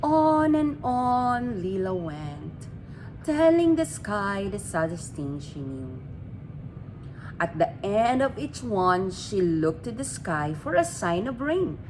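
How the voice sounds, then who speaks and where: A woman reading a children's story aloud in an expressive, deliberate way, drawing out her words. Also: mid-range voice. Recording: clearly noisy amateur recording.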